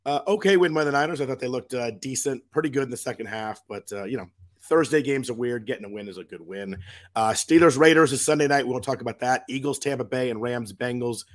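A man with a hoarse, worn voice hosting a casual sports podcast, chatty with frequent restarts. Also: medium pitch, quick pace. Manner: chatty, lots of fillers and restarts. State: hoarse from a cold. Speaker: podcast host.

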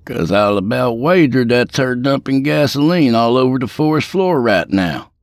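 A man's voice: medium pitch, lively intonation, moderate pace, dry recording, studio quality, pitched above the performer's own voice, natural voice. A man voicing a folksy, drawling middle-aged male state trooper in a spoken story. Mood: easygoing. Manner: folksy drawl, laid-back.

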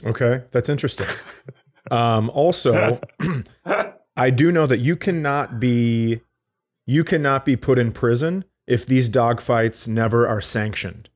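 A man with a medium-pitched voice co-hosts a casual comedy podcast. He banters and tells stories, teasing and swearing, sometimes with a mock-serious delivery. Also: slow. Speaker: podcast co-host.

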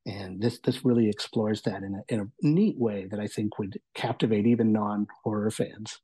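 Male, guest reviewer, soft mellow tone, husky, enthusiastic, rambling, conversational. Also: lowish voice, fairly even intonation, normal speed. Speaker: guest reviewer of audio entertainment.

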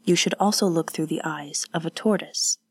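Een vrouw die speelt een onhandige jonge krijger. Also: female voice, low voice, moderate pace, darkish tone, slightly rough, no echo. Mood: earnest. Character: young male warrior, awkward and earnest.

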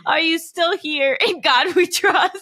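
Comedy reading: eager, over-polite traveler acted by a woman, high, bright, drawn-out, amusement leaking through. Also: full character voice. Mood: drawn-out, amused. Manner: overly polite, drawn-out, barely suppressing amusement. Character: mock-earnest scam baiter posing as eager traveler.

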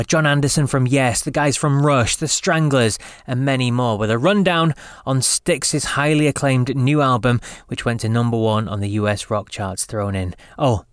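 Upbeat English podcast host, dry close mic. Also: male voice, mid-range voice, dry recording. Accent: English with a slight Scottish lilt. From England. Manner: chatty, upbeat, laughs easily. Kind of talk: scripted intro then casual interview.

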